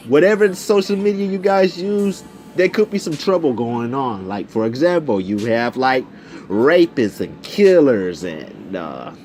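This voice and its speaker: A young man with a fairly high, very lively voice tells a casual story over music. His delivery is slangy and animated, swinging up and down as he re-enacts who said what. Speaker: video storyteller.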